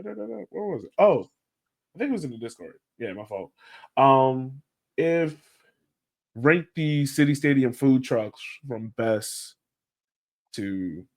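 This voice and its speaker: A man with a mid-range voice that swings widely in pitch as he hosts a casual podcast. His way of talking is informal and slangy, with plenty of emphasis. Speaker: podcast host reading listener questions. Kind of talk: casual chat.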